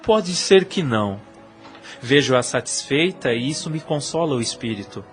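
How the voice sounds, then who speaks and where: A man's voice: mid-range voice, expressive, normal speed, natural voice. Man acting a jealous, brooding young suitor over music. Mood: polite, restrained. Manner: formal, theatrical diction. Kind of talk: audio drama acting. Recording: music under the voice.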